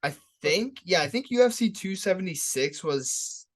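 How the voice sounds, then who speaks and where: A man's voice: high-pitched, lively intonation, normal speed. A man co-hosting a podcast, chatting casually about upcoming fights in a hyped, emphatic way that leans on repetition. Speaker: podcast co-host. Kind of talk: casual sports podcast chat, fight preview.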